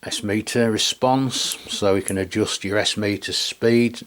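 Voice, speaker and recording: A man's voice: fairly low pitch, steady tone, measured pace, a bit bright. A man with an English accent giving a casual, rambling hands-on product demonstration in colloquial speech, often ending on tag questions. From England. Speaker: radio reviewer and video host.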